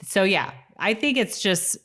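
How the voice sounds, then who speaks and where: A woman's voice: mid-range voice, lively intonation, measured pace, fairly bright tone. A woman co-hosting a podcast, chatting casually and reading notes aloud in an informal, slightly stumbling way. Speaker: podcast co-host.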